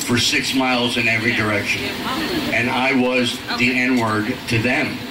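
Older American comic, distant and very noisy. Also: male voice, mid-range voice, little pitch movement, normal speed. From Philadelphia, United States. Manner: crude, self-deprecating, conversational delivery. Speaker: stand-up comedian. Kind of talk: live stand-up comedy storytelling. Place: outdoor stage at a night pool party. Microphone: handheld mic through PA, filmed from audience. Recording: echoey distant stage PA, loud ambient noise.

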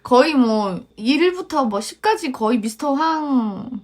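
A young woman chatting casually as co-host of a review podcast, her fairly high voice moving lively up and down. She reacts quickly and expressively, talking things through with her partner.

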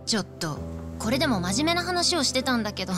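少し高めの声の、率直な若い女. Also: female voice, a little high, sing-song, fast talker, music in the background. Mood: exasperated. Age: young adult. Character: frank, guarded young woman from wealthy family. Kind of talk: video game cutscene drama acting.

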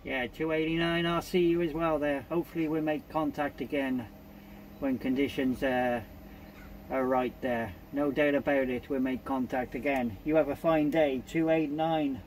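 A man's voice: medium pitch, fairly even intonation, moderate pace. A British man working as a CB radio operator, calling out contacts and repeating callsigns and phrases. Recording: CB radio static and noise.

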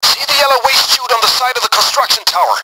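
An actor playing a brisk, commanding man who plans heists, giving clipped mission orders over the radio in a video game. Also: extremely high pitch, vocal fry, background noise. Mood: urgent, commanding. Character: brisk, commanding male heist planner directing a gang.

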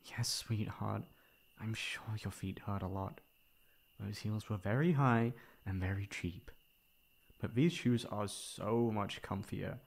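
A young man playing a caring, mildly exasperated boyfriend in an ASMR roleplay, coaxing and teasing with breathy sighs. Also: fairly low pitch, takes it slowly, heavily acted. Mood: concerned, coaxing.

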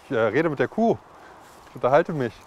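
A man's voice: mid-range voice, big pitch swings, fairly quick, dull audio, a little hiss or hum. A man in his thirties, the daughter's boyfriend, talking in an easygoing, self-deprecating way outdoors on a farm. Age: 30s. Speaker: farmer's daughter's boyfriend helping out. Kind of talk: documentary interview and banter. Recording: voice with music underneath.

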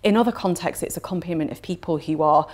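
A woman's voice: on the low side, quick pace, a little mellow. A woman in her forties, seated indoors, explaining church matters in an earnest, fluent interview and stacking up rhetorical questions for emphasis. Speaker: theologian interviewed on the synod. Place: indoor room with plain walls.

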